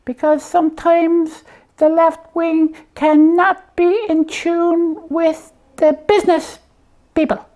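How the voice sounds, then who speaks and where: A woman voicing a slipper puppet who hosts a comic show, explaining things simply and haltingly in a matter-of-fact way. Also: very high voice, little pitch movement, mellow.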